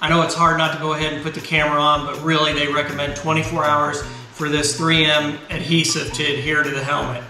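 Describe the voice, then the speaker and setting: A man in his fifties hosting a motorcycle vlog channel, explaining a helmet setup in a relaxed, chatty, self-deprecating way at a desk indoors. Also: on the high side, fast talker, echoey room, soft background music, poor audio. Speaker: motovlog channel host. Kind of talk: casual gear tutorial. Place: indoor garage studio.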